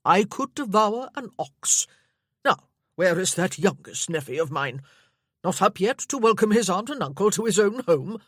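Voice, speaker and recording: A hearty old nobleman bellows with rollicking good cheer, as a woman puts him on. His voice swings widely in pitch and carries a husky grain. He sounds ready to laugh at any moment. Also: big pitch swings, moderate pace, dry recording, studio quality. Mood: boisterous. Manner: booming, roaring with laughter. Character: jovial loud elderly nobleman, boisterous uncle.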